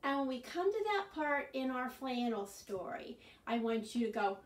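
A woman in her sixties presenting a children's storytime, expressive and often prompting the children with questions. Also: fairly high pitch, normal speed. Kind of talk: children's storytime, singsong chanting and read-aloud. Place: small furnished living room, indoors. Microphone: camera a few metres away. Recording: roomy, distant camera microphone.

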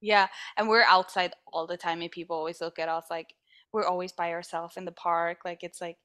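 A young woman from northern Sweden with a Swedish accent, chatting as a podcast guest, animated and often laughing. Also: on the low side, a little fast. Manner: animated, laughs often, acts out quoted voices. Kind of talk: casual interview chat.

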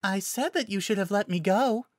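A narrator voicing a timid, gentle, apologetic young female druid in a fantasy story, soft-spoken, nervous and hesitant. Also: mid-range voice, moderate pace, no echo, clean studio sound, pitched above the performer's own voice, lightly acted. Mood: meekly polite. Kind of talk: audiobook-style fantasy story character.

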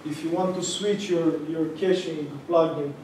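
A young man with a Romanian accent giving a tech meetup talk in a large room, halting with many fillers and restarts. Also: a little high, steady tone, background noise. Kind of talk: conference talk. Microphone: far camera. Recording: echoey room from far off.

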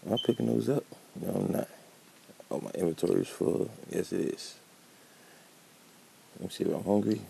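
A man's voice: deep voice, normal speed, creaky, long pauses, warm tone, a little hiss or hum, poor audio. A man giving laid-back commentary while playing a building game, thinking aloud as he works, with a slight cold. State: catching a cold. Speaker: gameplay commentator. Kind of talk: casual gameplay commentary.

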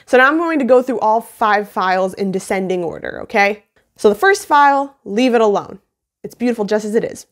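A woman's voice: mid-range voice, animated, fairly quick. Young woman giving a playful tutorial. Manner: playful, self-deprecating humour. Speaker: tutorial host. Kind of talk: casual scripted video tutorial. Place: small indoor home room. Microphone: phone on a mount, about arm's length or a little more.

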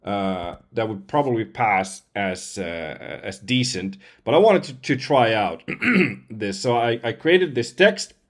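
A man in his forties from Finland, a slightly hoarse voice-over artist giving a casual demo. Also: fairly low pitch, lively intonation, slow pace. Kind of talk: casual demo talk to camera. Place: indoors at home computer, seen wearing headset.

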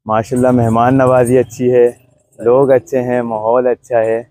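Young man, mellow low-key voice, dry close sound, courteous. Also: level tone, moderate pace, dry recording. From Karachi, Pakistan. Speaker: customer. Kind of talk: casual interview answers. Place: outdoor goat farm.

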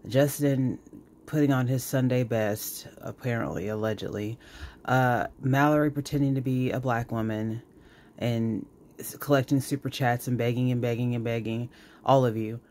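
A man's voice: mid-range voice, darkish tone, slight background noise. A man hosting reaction commentary, scolding emphatically and repeating words for effect. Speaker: commentary host.

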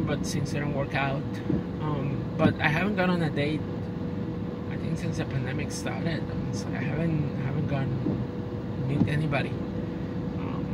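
A middle-aged woman from Puerto Rico with a Puerto Rican accent, talking casually in a car vlog, rambling and thoughtful with lots of "you know" asides. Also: deep voice. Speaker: vlogger. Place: inside a car. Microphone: phone camera mounted on the dashboard. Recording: distant mic with loud car road noise.

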